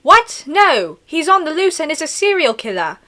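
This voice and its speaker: A woman voicing a brisk British mother hurrying her schoolchild along, calling out in an exaggerated put-on accent. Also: high-pitched, sing-song, sharp tone, pitched above the performer's own voice, full character voice. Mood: brisk, impatient.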